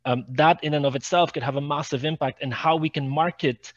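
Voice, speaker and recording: A man's voice: fairly high pitch, steady tone, fairly quick, no room sound. A man speaking as a policy panelist on a video call, repeating words as he builds his points. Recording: dull, dry video-call audio.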